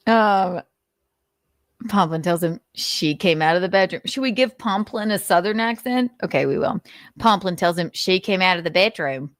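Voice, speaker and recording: A woman's voice: lowish voice, normal speed, no echo, clean studio sound. A woman hosting an informal dramatic reading of notes, with amused, sardonic asides. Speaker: host reading notes aloud.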